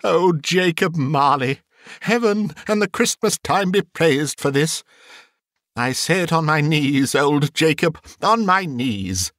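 A man's voice: on the high side, expressive, slow pace, a bit bright, no room sound, studio quality, pitched above the performer's own voice. A man voicing a reformed old miser bursting with joy and tearful emotion, exclaiming and whooping in a dramatic storybook reading. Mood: fervent, pleading. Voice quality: voice breaking with emotion.

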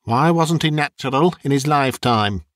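A man voicing a coarse, greedy old charwoman who mocks the dead in brash, quick bursts. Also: mid-range voice, a bit bright, no room sound, studio quality, voice pushed up, natural voice. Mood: scornful.

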